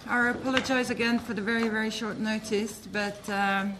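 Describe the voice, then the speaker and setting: A woman's voice: a little high, fairly even intonation, moderate pace. Polite woman introducing a press briefing. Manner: polite, formal, apologetic, with fillers. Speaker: press officer. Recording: noisy room with clicks.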